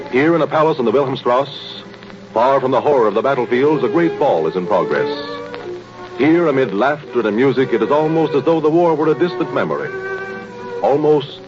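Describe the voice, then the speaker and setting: A man announcing from a script in formal, emphatic 1930s radio style. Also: medium pitch. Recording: muffled, noisy 1930s radio recording.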